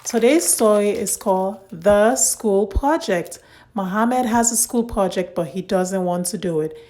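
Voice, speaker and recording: A woman hosting and narrating a read-aloud story for young children in simple, deliberate phrasing. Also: medium pitch, dark tone.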